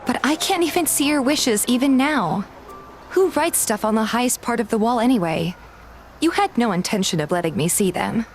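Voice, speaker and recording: A woman's voice: on the high side, lively intonation, normal speed. A woman playing a pouty, loyal young heroine who complains playfully in a fan dub of a romance game. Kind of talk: fan dub dialogue with first-person narration. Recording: clear background music.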